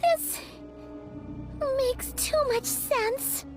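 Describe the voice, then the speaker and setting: Squeaky childlike fairy sidekick. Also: female voice, very slow, music in the background, exaggerated voice. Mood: shocked, dismayed. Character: small childlike floating fairy companion, excitable and squeaky. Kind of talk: video game voice acting.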